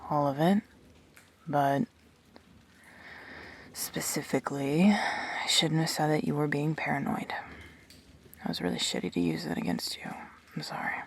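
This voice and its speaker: A woman's voice: low voice, animated, unhurried, pauses often, slightly rough, in a whisper, heavily acted. A woman playing a guarded, defensive, brooding immortal in an intimate sci-fi audio drama, terse and hesitant. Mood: apologetic.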